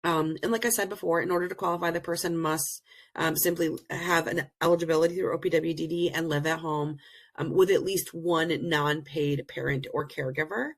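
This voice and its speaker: Hoarse woman giving a webinar talk. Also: low-pitched, steady tone. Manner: earnest, explanatory, many pauses. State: hoarse, recovering from illness. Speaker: presenter and program director. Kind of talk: webinar presentation. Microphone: video call.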